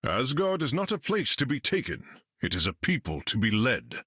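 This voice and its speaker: An actor playing a proud Norse thunder god in a video game, speaking in a grand, archaic, courtly manner. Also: male voice, medium pitch, wildly swooping pitch, moderate pace, pushed and bright, slightly rough, no echo, studio quality, heavily acted. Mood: solemn, resolute. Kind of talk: video game character lines.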